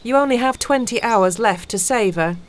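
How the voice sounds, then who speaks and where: A woman playing a helpful, chatty former pilot in an amateur audio play, speaking in a deliberate, friendly way. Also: fairly high pitch, lightly acted. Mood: matter-of-fact. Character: helpful, chatty female ex-pilot offering a rescue flight. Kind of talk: amateur audio drama acting.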